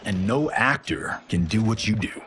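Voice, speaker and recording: An actor playing a cocky, upbeat Hollywood action star turned director in a video game, breezy, slangy and wisecracking. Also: male voice, lowish voice, expressive, moderate pace, fairly bright tone. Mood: breezy, playful. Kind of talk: cinematic game cutscene acting.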